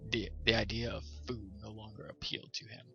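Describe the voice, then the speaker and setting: A man's voice: on the low side, level tone, a little fast, creaky, sharp tone. A man hosting a storytime show, reading and presenting to listeners as the narrator. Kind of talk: scripted ghost story narration. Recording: slightly dull sound, music behind. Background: background music.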